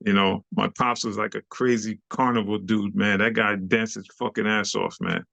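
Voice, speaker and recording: Gravelly New man in his sixties. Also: fairly low pitch, moderate pace, no echo, dull audio. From Haiti, raised in Brooklyn, New York. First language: Haitian Creole. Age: 60s. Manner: animated, slangy, laughs often. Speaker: interview guest, club dancer. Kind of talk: casual storytelling interview.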